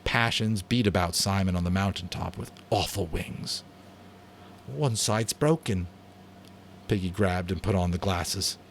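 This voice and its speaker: A man narrating a novel as a dramatic audiobook reading, expressive and theatrical. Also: low-pitched, normal speed, hardly any pauses, a little hiss or hum.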